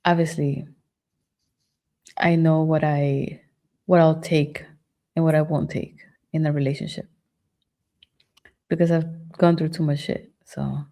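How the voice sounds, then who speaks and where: A woman's voice: low-pitched, level tone, a little slow, long pauses, mellow. A woman chatting candidly as a podcast guest, reflective and open about her past relationships. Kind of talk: casual chat.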